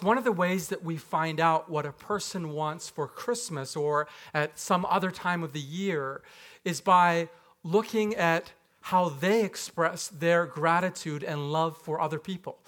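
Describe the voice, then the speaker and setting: Playful higher-voiced man preaching. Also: takes it slowly, a bit bright. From Japan. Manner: conversational, playful preaching with rhetorical questions. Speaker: pastor. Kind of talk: sermon. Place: church sanctuary.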